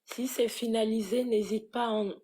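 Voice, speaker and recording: A woman's voice: on the high side, fairly even intonation, moderate pace, warm tone. A woman host asking questions of a music guest, with a playful, teasing manner. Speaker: interviewer. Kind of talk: interview questions.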